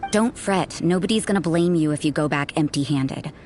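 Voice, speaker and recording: A woman's voice: low-pitched, moderate pace, darkish tone, slight background noise, lightly acted. A woman playing a cool, sardonic, suspicious church sister in a video game, with a dry, cynical, interrogating manner. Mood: dry, taunting.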